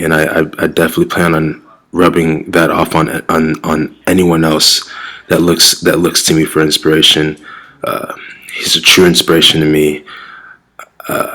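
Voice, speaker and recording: A man's voice: very deep voice, fairly bright tone, poor audio. A young man with a Nigerian accent talking casually and earnestly to camera in a fitness vlog, often repeating words as he searches for the next one. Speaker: fitness vlogger.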